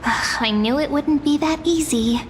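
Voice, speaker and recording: An actress voicing a candid, curious young female traveling companion in a fantasy game, with a frank and expressive manner. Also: high voice, normal speed, a little hiss or hum, lightly acted. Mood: skeptical. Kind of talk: scripted fantasy game dialogue.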